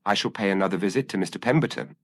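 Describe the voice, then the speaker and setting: A man voicing a brilliant, commanding male detective with calm, incisive, formal deductions in an audio mystery story. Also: on the low side, very fast, voice pushed up, close to an everyday voice. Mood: grave, decisive. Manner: crisp, formal, declarative deductions.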